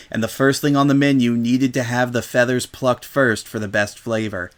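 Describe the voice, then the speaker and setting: Male storyteller, medium pitch, scripted dramatic narration of a comic tale. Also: fairly bright tone.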